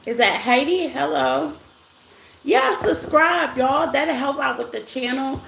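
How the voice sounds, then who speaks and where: A woman with a scratchy, rough voice hosts a casual tarot livestream, greeting viewers by name in a loose, chatty way. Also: sits high, animated, measured pace, fairly bright tone. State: voice rough after a silent morning. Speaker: tarot reader hosting a live stream. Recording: echoey room with faint noise.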